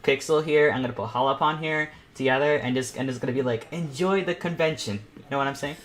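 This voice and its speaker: A young man hosting an online art panel, chatting casually and excitably as he draws, thinking aloud. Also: medium pitch, fast. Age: teens or young adult. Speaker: host of an online painting panel. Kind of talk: casual chat while drawing live.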